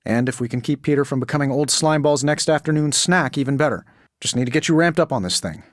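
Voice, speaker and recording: A man's voice: mid-range voice, fast talker, no room sound, studio quality, lightly acted. A male actor playing a cocky billionaire inventor superhero in powered armor for a video game, glib, sarcastic and self-assured. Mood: glib, teasing.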